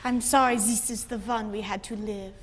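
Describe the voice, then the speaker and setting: A woman's voice: medium pitch, fairly even intonation, moderate pace, slight background noise, natural voice. A woman playing a commanding yet compassionate figure in a war musical, earnest and declamatory. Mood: tender, sorrowful. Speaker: stage musical performer. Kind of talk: theatrical acting.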